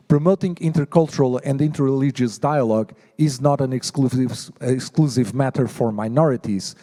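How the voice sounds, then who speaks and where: A man delivers a prepared conference speech in a formal, measured way, his voice deeply warm and mellow at an ordinary pitch. He works through lists phrase by phrase. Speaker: panel speaker.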